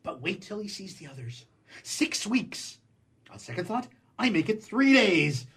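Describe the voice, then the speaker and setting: A man's voice: high voice, sing-song, a little slow, vocal fry, fairly bright tone, slightly rough, exaggerated voice. An actor playing a jilted, cynical man of the world in a stage comedy, with a sarcastic, declamatory manner. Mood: mocking, sarcastic. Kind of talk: theatrical stage comedy acting.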